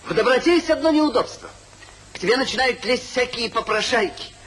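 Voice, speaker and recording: High-voiced hypocritical gentleman schemer. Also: lively intonation, a little fast. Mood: disdainful. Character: smooth hypocritical gentleman schemer, calculating and stingy. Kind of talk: 1950s radio play acting. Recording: faintly noisy 1950s radio recording.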